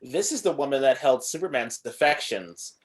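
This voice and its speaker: Lady doing snarky robot sidekick for a supervillain, really lively up and down delivery, table read. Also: rumbling low, normal speed. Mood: sardonic. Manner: sardonic, sassy. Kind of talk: amateur table read of a film script.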